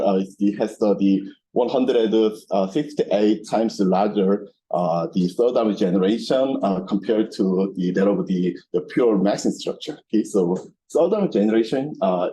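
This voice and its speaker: A low-pitched male researcher presents at a scientific seminar in a soft, mellow tone. His delivery is halting and repetitive, with non-native English phrasing, and there is some background noise in the room. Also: rough recording. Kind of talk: academic lecture with slides. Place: seminar room with projection screen.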